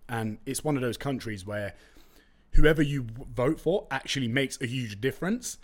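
Young London-accented man, Turkish first language, podcast host; slangy, chatty, jokey storyteller, mid-pitched voice. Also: animated, moderate pace. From East London, England. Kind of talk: casual solo podcast storytelling.

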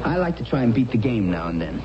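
An actor playing an obsessive, superstitious gambler husband in a 1970s radio drama, insistent and agitated, repeating himself. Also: male voice, mid-range voice, big pitch swings, quick pace, a crowd in the background, natural voice. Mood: earnest. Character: obsessive, excitable gambler husband, superstitious about winning numbers. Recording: muffled, noisy old radio broadcast.

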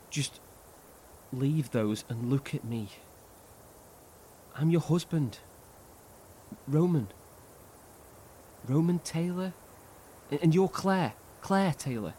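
Male actor for a panicked infected husband, slow and stammering, high voice over a light hiss. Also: warm tone. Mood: pleading. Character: frightened husband infected by alien growth. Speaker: lead character. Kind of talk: horror audio drama acting.

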